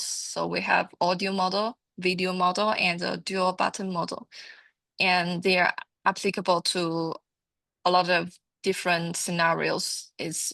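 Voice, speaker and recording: A woman giving an informal product training presentation, explaining haltingly and often repeating her words. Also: lowish voice, gravelly, a bit bright, no echo.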